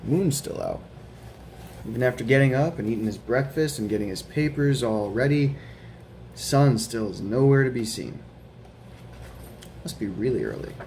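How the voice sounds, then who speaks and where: A young adult man reading a children's picture book aloud at home, in a gentle storytelling way with chatty asides and questions for the listeners. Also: medium pitch, moderate pace, long pauses, slight background noise, rough recording. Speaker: guest reader of a children's book. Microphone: camera mic.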